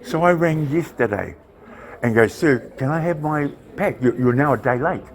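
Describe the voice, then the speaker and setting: Loud New man pleading his case to police indoors, mid-pitched, insistent. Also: lively intonation, moderate pace. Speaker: member of the public confronting police. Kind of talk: heated argument. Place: government office lobby, indoors.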